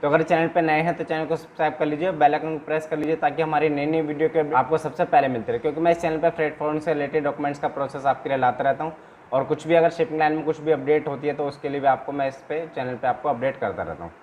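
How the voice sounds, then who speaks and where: A man's voice: fairly high pitch, fairly even intonation, quick pace, darkish tone, muffled recording. A man teaching informally in an instructional video, explaining paperwork step by step and often repeating himself with small check-in tags. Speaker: trainer and channel host. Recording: clear background noise, room ambience.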